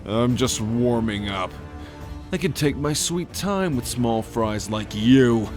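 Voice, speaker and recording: A man's voice: mid-range voice, lively intonation, slow, a little mellow, soft background music. A man playing a proud, fierce and protective dragon king in a fantasy audio drama, cocky and menacing. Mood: cold, cocky.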